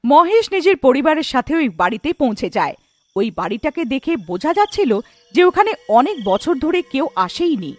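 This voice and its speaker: A woman's voice: sits high, big pitch swings, normal speed, a little mellow, no room sound, faint music behind. A woman narrating a scripted cartoon horror story.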